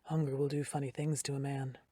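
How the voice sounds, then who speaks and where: A woman voicing a gaunt, shirtless male survival contestant who speaks in a rough, gravelly, deep voice and in terse, flat lines. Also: a little fast, no echo, clean studio sound, lightly acted. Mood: menacing.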